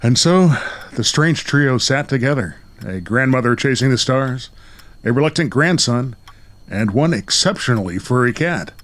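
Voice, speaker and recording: A man's voice: medium pitch, lively intonation, moderate pace, a bit bright. A man working as host and narrator of a radio play, moving between storytelling and casual talk.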